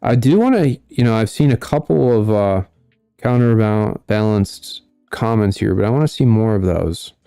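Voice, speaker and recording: A man hosting a casual commentary stream, reading out viewer comments in a monotone way. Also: lowish voice, moderate pace, creaky, clear, resonant tone, no room sound, studio quality, faint music behind. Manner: monotone delivery. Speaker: host.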